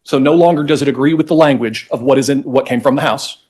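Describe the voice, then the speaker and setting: A man's voice: medium pitch, fast talker, darkish tone. Man arguing as a lawyer in court. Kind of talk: oral argument walking a judge through legislative history.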